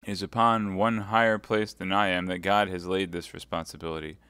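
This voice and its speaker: A man reading aloud voices a serious, devout young soldier who speaks in a grave, measured way. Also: low-pitched, flat delivery, pushed and bright, clear, resonant tone, no room sound, studio quality. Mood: solemn.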